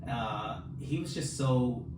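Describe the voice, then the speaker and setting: A man's voice: mid-range voice, slow. Man chatting in a noisy, echoey room. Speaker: podcast co-host; film director and filmmaker. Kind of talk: casual chat. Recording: roomy echo, distant mic, noisy background.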